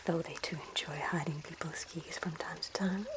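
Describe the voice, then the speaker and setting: A woman playing a gentle, courteous inn hostess in a fantasy ASMR roleplay, speaking in a soft, breathy whisper with formal, measured phrasing. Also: low-pitched, steady tone, lightly acted. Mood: softly storytelling. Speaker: innkeeper character in roleplay.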